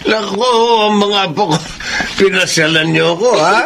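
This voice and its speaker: A man's voice: sits high, normal speed. A man playing a jolly, doting old grandfather in a radio drama, affectionate and given to chuckling. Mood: delighted. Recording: clearly noisy radio drama track.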